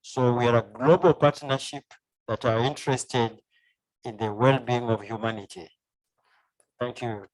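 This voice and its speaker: A man with a Kenyan accent speaks at a middle pitch as an academic guest in an interview. He explains things formally and methodically, point after point. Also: fairly even intonation, a little slow, long pauses, darkish tone, poor audio. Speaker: university professor, interview guest.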